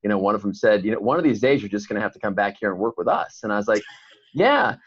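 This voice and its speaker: Fast-talking low-voiced man. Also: big pitch swings, breathy edge, highs cut off. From Chicago. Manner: fast, rambling, many fillers and restarts. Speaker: podcast guest, entrepreneur. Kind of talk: casual interview conversation.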